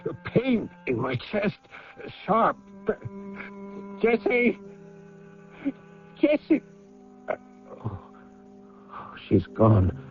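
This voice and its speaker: Brooding, haunted gentleman, radio drama monologue; slow, widely swinging delivery, self-questioning and halting. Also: high-pitched, huge pitch swings, exaggerated voice. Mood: pained, fearful. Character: brooding, guilt-ridden gentleman of the house, haunted and lonely. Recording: muffled vintage radio drama recording, background music.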